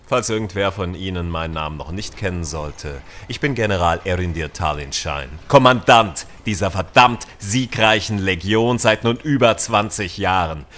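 An actor playing a stern, commanding veteran military general with a disdainful, formal manner in a science fiction audio drama. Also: male voice, sits low, moderate pace, few pauses, fairly bright tone. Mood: contemptuous, commanding.

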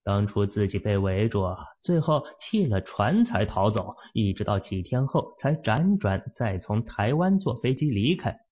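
Male audiobook narrator, husky low voice, lively pitch, dull lo-fi recording. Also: measured pace, few pauses. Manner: expressive storytelling with lively pitch swings. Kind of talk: dramatic novel narration.

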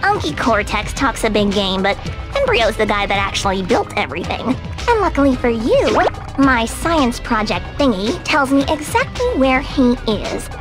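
A woman's voice: a little high, big pitch swings, normal speed. An actress playing a sarcastic, scheming goth schoolgirl villain in a cartoon video game, with a haughty, mocking delivery. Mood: gloating. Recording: loud music behind the voice.

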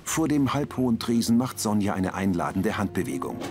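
A man's voice: fairly low pitch, big pitch swings, brisk. A man reading scripted audio description, narrating scenes in the present tense.

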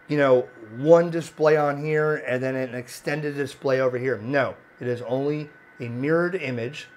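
A man with a slightly hoarse voice giving a plain-spoken, informal product demonstration as a video host, recorded indoors on a handheld camera. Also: medium pitch. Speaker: amateur radio video host. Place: indoor home radio room. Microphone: handheld camera mic.

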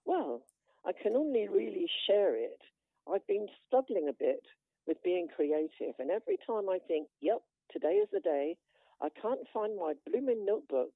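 An older woman playing a modest, reflective elderly lady with a homely, measured delivery in an amateur radio play. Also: mid-range voice, big pitch swings, creaky, no echo. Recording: thin phone line.

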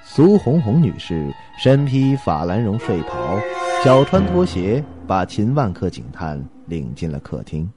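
Deep-voiced, animated male narrator. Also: measured pace, few pauses, music in the background. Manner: suspenseful, expressive storytelling. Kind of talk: dramatic scripted narration.

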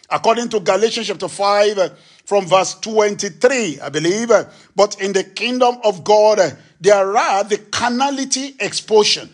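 High-voiced man preaching emphatically. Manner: emphatic preaching, acts out dialogue with rising pitch. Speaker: pastor. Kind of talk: sermon teaching. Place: church stage with a lectern. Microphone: handheld microphone.

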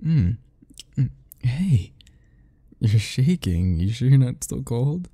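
A man's voice: mid-range voice, very animated, takes it slowly, warm tone, husky. A young man doing an ASMR roleplay as a teasing, easygoing roommate talking to the listener, laughing often.

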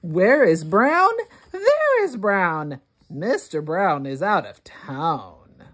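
A woman reads a children's rhyming book aloud as a read-along host. Her voice is a little low and slightly husky, and she places each word deliberately for early readers, with lively swoops in pitch.

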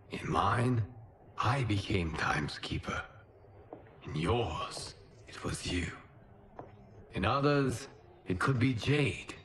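An actor playing a solemn, wise warrior god and keeper of time in a video game, measured and earnest. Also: male voice, lowish voice, a bit bright, a little hiss or hum. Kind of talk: video game cutscene acting.

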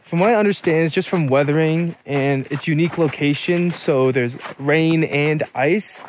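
A man's voice: on the high side, normal speed, slight background noise. A young man narrating a casual travel vlog in a matter-of-fact, informative way. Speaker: travel vlogger. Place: outdoors at a snowy canyon overlook. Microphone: phone camera at arm's length.

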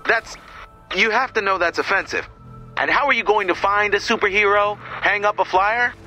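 An actor playing a no-nonsense, exasperated heroic team leader giving mission dialogue in a video game. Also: male voice, high voice, normal speed, sharp tone, soft background music. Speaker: mission commander.

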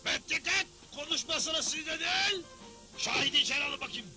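An actor playing a pompous, theatrical crooked judge in a dubbed Western cartoon, declaiming with self-important authority. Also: male voice, very high voice, a little fast, exaggerated voice. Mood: bossy, official. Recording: loud background music.

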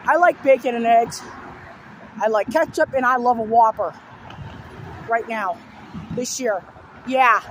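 A hoarse young man with a sore throat rambling through repeated simple lists in a casual vlog, filmed outdoors beside a road on a phone held at arm's length. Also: very high-pitched, unhurried, pauses often, fairly bright tone, poor audio. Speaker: vlogger. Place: outdoors on a fenced overpass beside a busy road. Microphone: phone held low at arm's length. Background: faint passing traffic.

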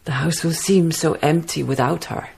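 An actress playing an earnest, strong-willed young Danish baroness in a radio drama. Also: female voice, deep voice, fairly even intonation, moderate pace, creaky, a little mellow, a little hiss or hum. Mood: puzzled, tender.

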